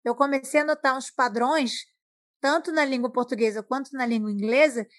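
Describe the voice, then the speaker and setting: A Brazilian woman with a high voice talks casually in a very dry-sounding recording. She is chatty and likes to tell things by example. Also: steady tone, a little fast, no room sound. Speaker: talk participant. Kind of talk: casual chat.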